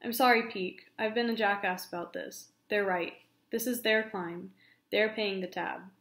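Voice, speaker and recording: A woman's voice: medium pitch, fairly even intonation, measured pace, a bit bright. Woman doing a terse male expedition leader. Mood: firm. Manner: terse, firm. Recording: roomy echo.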